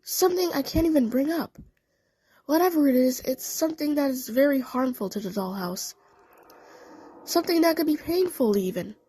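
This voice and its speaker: A woman voicing a female cartoon cat, an uneasy friend confiding in halting phrases. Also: high-pitched, animated, dark tone, no room sound, low-quality recording. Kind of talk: fan audio play dialogue.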